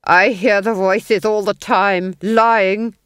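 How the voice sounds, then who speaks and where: A woman's voice: mid-range voice, fairly even intonation, slow, smooth, dry recording, studio quality, lightly acted. A woman voicing a pompous, gloating and unhinged Duke with grandiose, theatrical phrasing, in a fantasy audiobook.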